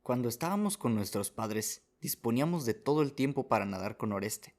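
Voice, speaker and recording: A man's voice: medium pitch, expressive, brisk. A man reading scripted first-person story narration as the narrator of a radio drama.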